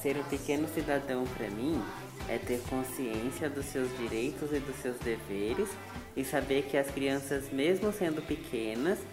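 A man in his twenties answering children's interview questions calmly, listing his points one by one. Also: medium pitch, unhurried, reverberant, music in the background. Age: 20s. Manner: calm, explains in lists. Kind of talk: school project interview.

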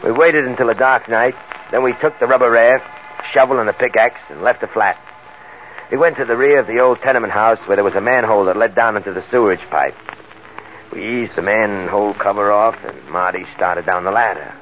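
A man's voice: lowish voice, fairly quick, a bit bright, natural voice. Guy acting eager small-time new crook, slangy working stiff, old radio crime show, dull sound w/ some hiss. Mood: matter-of-fact narrating. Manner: colloquial, tough-guy slangy.

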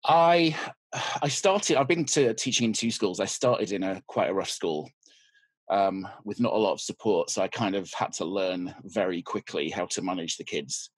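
A man's voice: low-pitched, expressive, normal speed, breathy edge, no echo. A man, a physics teacher talking as a guest in a casual interview, hesitant and dry, with many ums. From Yorkshire, England. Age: 40s.

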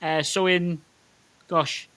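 A man's voice: sits high, level tone, slow, pushed and bright. A middle-aged man with a County Durham accent, a minister giving informal, chatty and somewhat hesitant answers in an interview. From South County Durham, northeast England. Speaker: Methodist minister.

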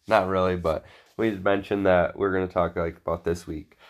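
A man's voice: low-pitched, steady tone, moderate pace. A man with a congested, sore-throated voice co-hosting a podcast in blunt, sweary, unscripted chat. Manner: profane, blunt. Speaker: podcast co-host.

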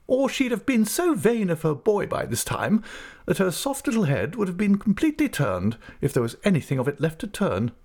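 A man reading an audiobook, voicing a brisk, stern but fond elderly aunt in a raised, emphatic voice. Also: sing-song, a little mellow, full character voice. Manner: emphatic, decisive, high-pitched and commanding. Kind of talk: audiobook character voice.

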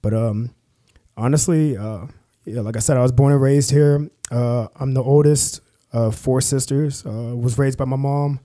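Halting young man with a mellow voice. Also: medium pitch, fairly even intonation. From Kansas, USA. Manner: halting, many fillers and restarts. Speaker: guest. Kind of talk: casual conversational interview.

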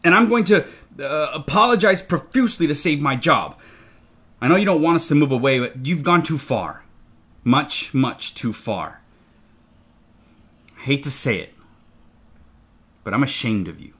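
A man's voice: medium pitch, expressive, takes it slowly, pauses often, a bit bright, breathy edge, heavily acted. A man voicing a stern, enraged, embarrassed father, emphatic and seething, in an audiobook. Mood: angry, ashamed. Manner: seething, emphatic, hissing through gritted teeth. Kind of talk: audiobook character dialogue.

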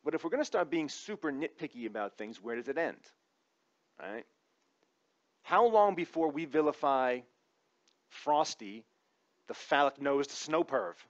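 A man's voice: a little high, animated, a little slow, long pauses. Wry man in dull lo-fi sound. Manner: informal, wry, sometimes profane. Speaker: comedic commentator. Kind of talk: conversational opinion monologue with comic asides.

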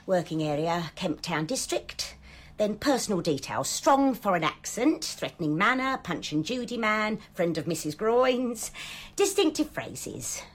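A woman's voice: medium pitch, sing-song, measured pace. An actress playing a sly, teasing English charlady with a working-class accent, wry, matey and cajoling, in radio comedy style. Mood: sly, coaxing. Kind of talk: British radio comedy acting.

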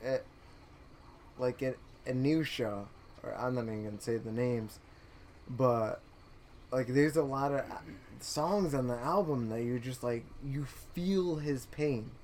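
A man's voice: mid-range voice, measured pace, a little mellow, a little hiss or hum. A young man co-hosting a podcast, talking in a rambling, earnest way with frequent restarts and laughs. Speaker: podcast co-host. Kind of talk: casual chat.

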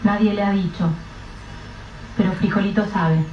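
Lady narrator, slow serious storytelling, dull distant sound, music low underneath. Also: steady tone, slight background noise. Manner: measured, grave, storytelling. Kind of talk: scripted radio drama narration. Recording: roomy, dull, distant mic with soft music.

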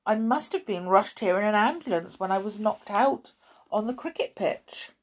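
A woman's voice: medium pitch, animated, moderate pace, voice pushed up, lightly acted. A woman voicing a timid, polite boarding-school boy in a storybook, hesitant and pleading. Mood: nervous, sheepish.

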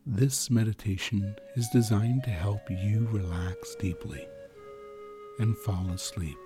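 A man leads a guided sleep meditation with a soothing, very slow delivery. His voice sits on the low side, and he leaves long pauses between phrases. Music plays clearly behind him.

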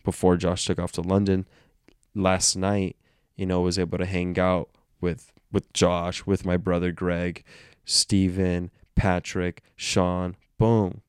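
A man's voice: low voice, little pitch movement, slow pace, clean tone, no echo, clean studio sound. A man hosting a casual solo podcast, congested and nasal from illness, rambling and self-aware. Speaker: podcast host.

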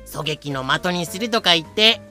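An actor in a fan audio drama playing a brash pirate captain with rough, swaggering speech. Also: medium pitch, animated, normal speed, bright, forceful tone, soft background music. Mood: chummy. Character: brash male pirate captain, menacing thug turned chummy.

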